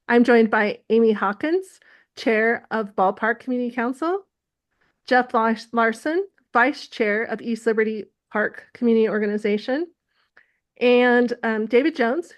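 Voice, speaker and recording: A woman who chairs a community council, moderating an interview and reading a scripted introduction. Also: fairly high pitch.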